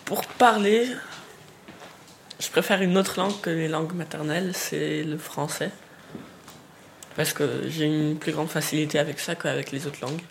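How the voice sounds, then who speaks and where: A man's voice: fairly high pitch, steady tone, moderate pace, creaky, pauses often. A young man, native in Dutch and Portuguese, answering casually and hesitantly with faint noise around him. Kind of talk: casual street interview. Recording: faint ambient background noise.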